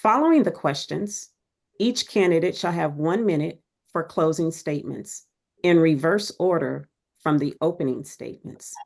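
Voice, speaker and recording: A woman moderating a candidate forum, reading the rules and introductions in a measured, formal, scripted way. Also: low voice, animated, vocal fry, darkish tone.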